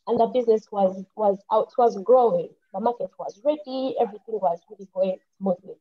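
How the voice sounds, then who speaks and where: A woman's voice: medium pitch, brisk, husky. Earnest young Ugandan woman, muffled mic. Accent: Ugandan English. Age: young adult. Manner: earnest, restarts often, quotes her own thoughts. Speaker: panelist, engineer and farmer. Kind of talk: casual personal account on an online panel. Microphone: computer mic on a video call.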